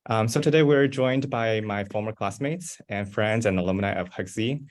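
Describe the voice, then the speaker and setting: A man's voice: fairly low pitch, moderate pace. A young man moderating an online panel, polite and eager, with frequent "um"s. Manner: polite, eager, many fillers. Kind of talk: hosting a virtual panel.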